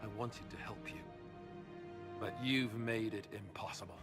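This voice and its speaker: An actor playing an indignant, reproachful king in a fantasy video game, speaking with measured authority. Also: lowish voice, natural voice. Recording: echoing hall with music behind.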